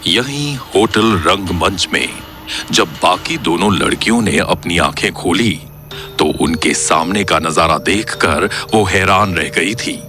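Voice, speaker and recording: A man narrates a horror story in a dramatic, scripted manner. His voice is low and his pacing slow, with music clearly playing behind him. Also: bright, forceful tone. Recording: clear music bed under the voice.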